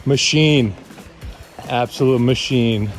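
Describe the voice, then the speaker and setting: A man's voice: mid-range voice, music in the background. A man chatting cheerfully on camera, cheering on his partner before and after a marathon. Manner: slow, upbeat, cheering. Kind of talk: casual vlog chat.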